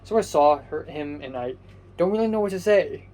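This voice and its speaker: A man's voice: on the high side, lively intonation, moderate pace, mellow, rough recording. A young man from Hong Kong whose first language is Cantonese, casually telling a rambling story with many fillers, restarts and casual swearing. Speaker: vlogger.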